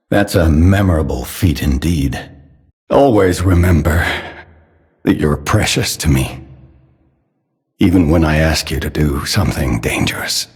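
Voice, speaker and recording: An actor playing a doting, sorrowful elderly inventor father in a video game, speaking solemnly with a husky, breathy voice. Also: sits low, full character voice. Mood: tender. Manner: slow, measured, solemn. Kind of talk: dramatic game character dialogue. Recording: long reverberant hall echo.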